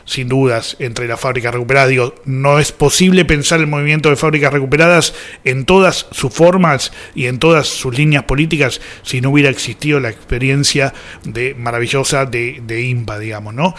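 A man's voice: mid-range voice, steady tone, brisk, fairly bright tone. A man with an Argentine accent hosting a radio show, giving reflective, conversational commentary. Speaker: radio host.

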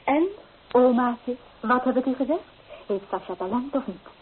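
A woman playing an excitable, proud young engaged granddaughter in a radio play, gushing and coaxing. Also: a little high, normal speed, lightly acted. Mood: bright, admiring. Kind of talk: Dutch radio play acting. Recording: tinny old radio recording with hiss.

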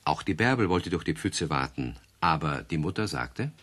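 A man narrating a children's radio play in a calm storytelling cadence. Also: very deep voice, normal speed, slight background noise.

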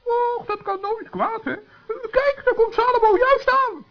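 Very high-voiced, kind little forest gnome. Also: male voice, lively intonation, measured pace, exaggerated voice. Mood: calm, curious. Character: small kind-hearted trusting male forest gnome, cheerful and plucky. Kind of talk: children's radio play acting. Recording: dull lo-fi old radio recording.

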